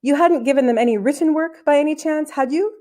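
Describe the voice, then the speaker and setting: A woman reading a storybook as a brisk, self-assured, unworried deputy headmistress. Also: high voice, mellow, voice pushed up, natural voice. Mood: unconcerned.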